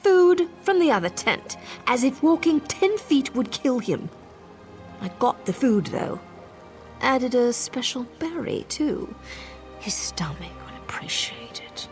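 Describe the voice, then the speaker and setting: A woman's voice: sits high, very animated, slow, heavily acted. A woman playing a sly, mischievous female agent in a video game, speaking with sardonic, conspiratorial relish. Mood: sly, amused. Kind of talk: video game character dialogue. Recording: music behind the voice.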